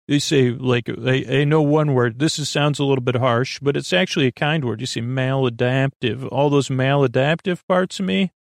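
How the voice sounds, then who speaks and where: A man hosting a podcast in a rambling, informal bedtime monologue, halting often, interrupting himself and drifting into tangents. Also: mid-range voice, gravelly, no room sound, clean studio sound. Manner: meandering, halting, self-interrupting with trailing tangents. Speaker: podcast host.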